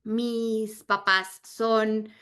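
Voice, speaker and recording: A woman's voice: fairly high pitch, little pitch movement, extremely slow, bright, forceful tone. A woman in her thirties from Argentina, leading an online sales training in a friendly, chatty way with many fillers. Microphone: video call.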